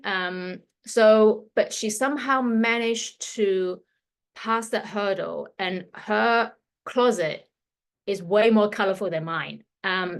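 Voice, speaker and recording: Woman, 50s, Hong Kong-London accent, chatty stylist giving a workshop over a computer mic. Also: mid-range voice. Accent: Hong Kong and London English. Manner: chatty, loose, with fillers and restarts. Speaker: fashion stylist leading a workshop. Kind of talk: casual presentation to an audience.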